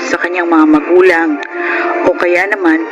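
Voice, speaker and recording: An actress with a Filipino accent playing a long-suffering, exasperated young wife in an emotive radio drama. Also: female voice, fairly low pitch, level tone, moderate pace, lo-fi sound, over music, natural voice. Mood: weary, confiding. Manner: emotive, complaining.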